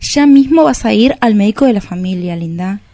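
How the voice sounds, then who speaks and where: A woman's voice: mid-range voice, expressive, normal speed, dark tone, natural voice. Woman acting a fussy, snobbish Argentine mother. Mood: alarmed, prying. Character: snobbish, meddling middle-class mother of grown daughter. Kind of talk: radio play acting.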